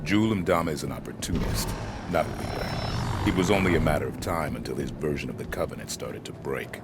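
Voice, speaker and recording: An actor playing a stern, disciplined soldier who leads a fireteam in a video game, speaking in terse commands. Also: male voice, rumbling low, brisk, over loud music. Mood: controlled, serious. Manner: terse, commanding, clipped orders. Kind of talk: video game cutscene acting. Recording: loud action score behind.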